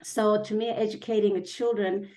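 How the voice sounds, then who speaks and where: Korean-accented woman giving a halting speech. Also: medium pitch, steady tone, a little mellow, rough recording. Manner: earnest, halting with fillers and restarts. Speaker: city councilwoman. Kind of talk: campaign speech.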